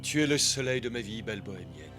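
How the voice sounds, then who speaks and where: An actor playing a gallant, ardent army captain in an animated film, with a flowery, declamatory manner. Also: male voice, fairly low pitch, fast, lightly acted. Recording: loud background music.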